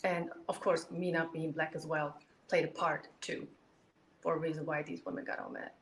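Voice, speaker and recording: A woman's voice: sits low, flat delivery, moderate pace, slight background noise. A young woman from Germany, a guest in an interview, explaining herself earnestly with many "you know"s. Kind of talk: candid interview talk. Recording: echoey room.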